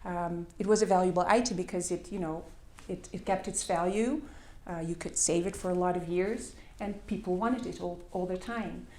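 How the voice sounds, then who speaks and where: A woman's voice: lowish voice, moderate pace, few pauses, warm tone. A middle-aged woman with a Dutch accent, not speaking her first language, giving a conference talk with slides in a hesitant way, with many fillers. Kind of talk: presentation with slides. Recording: echoey hall.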